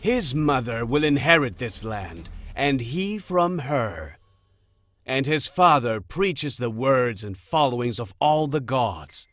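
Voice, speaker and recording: A man playing a sly, persuasive god of deception in a fantasy audio drama, talking in a deliberate, theatrical way. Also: mid-range voice, fairly bright tone. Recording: music under the voice.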